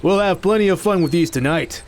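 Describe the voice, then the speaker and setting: A man's voice: high-pitched, a little fast, a little mellow, a little hiss or hum, lightly acted. A man playing a confident, easygoing martial arts master in an audio drama, casual and assured. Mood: relaxed.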